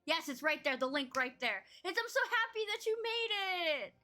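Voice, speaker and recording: A woman's voice: extremely high pitch, animated, moderate pace, sharp tone. A woman hosting a casual podcast chat, bubbly and teasing, laughing often. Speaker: podcast host.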